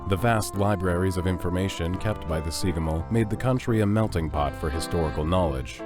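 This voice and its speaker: A man reading scripted narration for an audio drama as its narrator. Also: sits low. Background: music.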